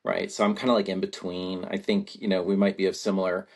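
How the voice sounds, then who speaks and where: Low gravelly man, thoughtful and rambling. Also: level tone, quick pace. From Latvia. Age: 40s. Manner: thoughtful, rambling, frequent tag questions. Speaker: guest. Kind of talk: reflective conversation.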